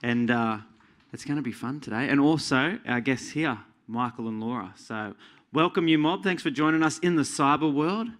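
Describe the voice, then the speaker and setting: A man from Australia hosting a live panel discussion, moving from a soft, reverent acknowledgement into upbeat, enthusiastic introductions. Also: mid-range voice, moderate pace. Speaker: host.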